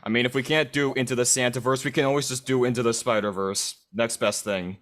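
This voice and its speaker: A man with a medium-pitched voice talks casually in a group. His delivery is informal and conversational. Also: fairly even intonation, brisk, a bit bright.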